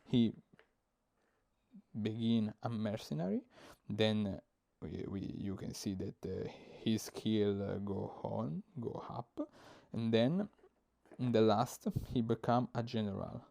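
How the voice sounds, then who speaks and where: Halting Italian-accented man, slow and gravelly. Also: on the low side, warm tone. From Italy. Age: young adult. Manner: halting, searching for words, many fillers. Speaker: board game reviewer. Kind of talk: explaining game rules.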